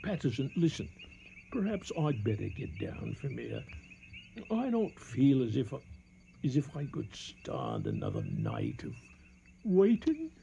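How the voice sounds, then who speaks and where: An actor with a British accent playing a frightened colonial police inspector in a radio drama, agitated and halting in delivery. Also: male voice, medium pitch, huge pitch swings, gravelly, warm tone, muffled recording, a little hiss or hum, heavily acted. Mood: frightened, rattled. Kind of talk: 1980 radio drama acting.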